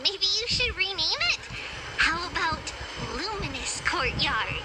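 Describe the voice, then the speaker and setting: A gracious, playful lady with a very high, animated voice and an easygoing, musing manner. She speaks slowly, as game dialogue with loud music behind her. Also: very noisy. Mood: breezy. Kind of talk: video game voice acting.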